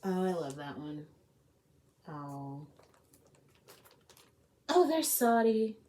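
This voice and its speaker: A woman with a fairly low voice takes her time, very slow and unhurried. She sounds like a gossipy, slangy host, chatting casually and reading a story aloud, slipping into whispers at times. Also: very animated, warm tone.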